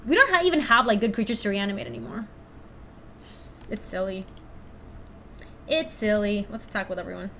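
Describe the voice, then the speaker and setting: A young woman from Ecuador chatting casually through a card game stream, thinking out loud and laughing often. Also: medium pitch, expressive, brisk, long pauses, a little hiss or hum. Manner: chatty, giggly, thinks aloud to chat. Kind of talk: casual live-stream commentary.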